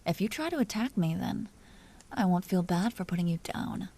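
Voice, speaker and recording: A woman voicing a dominant, teasing vampire duchess in an intimate audio roleplay, often dropping into breathy whispers. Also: lowish voice, lively intonation, normal speed, warm tone, slight background noise. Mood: calmly threatening. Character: dominant female vampire duchess, seductive, teasing, coolly imperious. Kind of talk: scripted audio roleplay, intimate monologue to listener.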